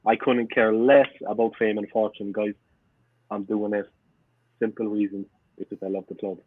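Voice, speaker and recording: A man's voice: lowish voice, flat delivery, moderate pace, no room sound. A man in his forties from Cork with a strong Cork Irish accent, a podcast guest telling stories in an earnest way and repeating himself for emphasis. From Cork, Ireland. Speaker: podcast guest, fan channel owner. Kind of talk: casual interview storytelling. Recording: tinny phone-like remote line.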